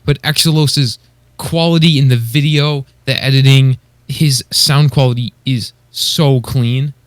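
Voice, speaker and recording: A man's voice: medium pitch, fairly even intonation, unhurried, no room sound. A man co-hosting a podcast, chatting casually and giving informal, appreciative opinions. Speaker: podcast co-host.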